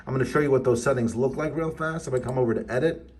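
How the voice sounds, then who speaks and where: A man's voice: medium pitch, quick pace, darkish tone. A man instructing in a casual, conversational tutorial, chatty and playful, speaking straight to the viewer and voicing their imagined questions. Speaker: instructor presenting a how-to video.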